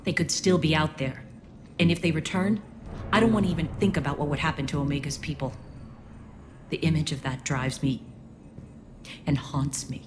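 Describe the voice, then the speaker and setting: A low female voice for an earnest alien resistance leader in a video game, played by an actress. She is guarded and reflective, weighing her words with sincerity. Ambient noise is clearly heard behind her. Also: fairly even intonation, normal speed, pauses often, close to an everyday voice. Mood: grave concern.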